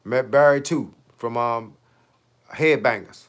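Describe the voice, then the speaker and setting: A man's voice: mid-range voice, takes it slowly. A man in his late fifties, a fitness coach chatting casually on his livestream, slangy and talkative, answering viewers' comments with rhetorical questions. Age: 50s.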